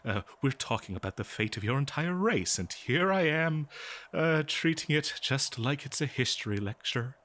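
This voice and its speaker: A man playing an eager, kindly scholar in an audio roleplay, speaking politely and haltingly to a listener. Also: mid-range voice, animated, a bit bright, lightly acted. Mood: flustered, apologetic. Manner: halting, flustered, polite, exclaiming. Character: eager, scholarly, kindly male researcher of a lost species. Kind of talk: scripted audio roleplay, one-sided dialogue.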